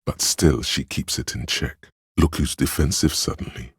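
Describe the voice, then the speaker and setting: Inner voice of empathy, extremely low, heavily husky, velvety, grave, compassionate, measured game narration. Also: male voice, no echo, studio quality, full character voice. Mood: pensive, observant. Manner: measured, intimate, solemn. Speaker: game voice actor. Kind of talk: scripted second-person game narration.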